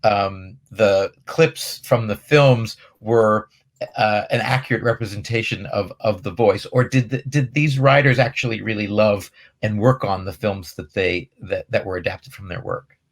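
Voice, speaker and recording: Male Q&A moderator, fairly low pitch, thoughtful halting questions in a conversational tone. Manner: halting, hesitant, searching for words.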